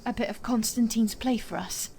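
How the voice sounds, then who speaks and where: A woman's voice: a little high, normal speed, mellow, a little hiss or hum, natural voice. An actress playing a languid, glum young woman in an audio drama. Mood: languid, dreamy.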